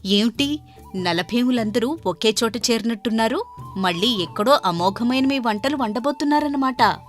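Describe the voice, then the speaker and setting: A woman's voice: fairly high pitch, animated, moderate pace, soft background music, close to an everyday voice. A woman voicing a frank, sensible village cook's wife in a conversational way in a cartoon moral story. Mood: teasing, admiring.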